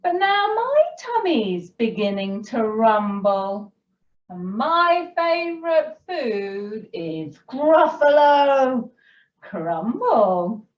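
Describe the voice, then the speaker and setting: A woman's voice: on the high side, very animated, drawn-out words, heavily acted. A woman voicing a small, clever, cheerful mouse with a smug, sing-song delivery for a storybook. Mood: boastful. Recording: roomy, distant, dull sound.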